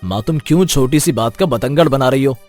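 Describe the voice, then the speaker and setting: A man playing a selfish, smooth-talking young village husband in a cartoon moral story. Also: medium pitch, brisk, darkish tone, lightly acted. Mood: defensive. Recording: faint music behind the voice.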